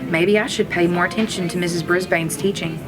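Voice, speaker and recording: A woman's voice: on the low side, brisk, rough recording. Woman reading a storybook aloud, music behind. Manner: expressive, acts out characters' lines. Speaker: kindergarten teacher reading aloud. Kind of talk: children's storybook read-aloud.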